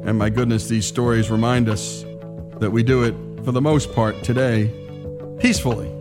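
A man's voice: mid-range voice, steady tone, normal speed. A man hosting a radio show, giving commentary in an emphatic, reflective manner. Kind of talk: scripted radio commentary over music. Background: acoustic guitar music.

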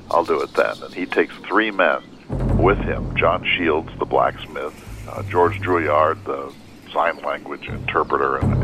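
A man speaking as a history expert, telling a story in deliberate phrases broken by pauses. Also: rumbling low, background noise. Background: music.

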